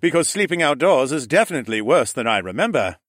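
Man playing a roguish falcon man, breezy and joking, lively pitch, storybook. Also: fast talker, no echo, studio quality.

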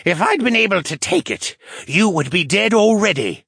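A man's voice: sits high, animated, moderate pace, a bit bright, slightly rough, dry recording, clean studio sound, pitched above the performer's own voice, heavily acted. A man voicing a bitter, defiant captive merman fisherman in an audiobook, scornful and muttering. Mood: smug.

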